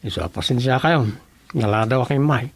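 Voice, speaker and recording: A man's voice: medium pitch, expressive, a little fast, no echo. A man playing a worried searcher in a radio drama, anxious and plain-spoken.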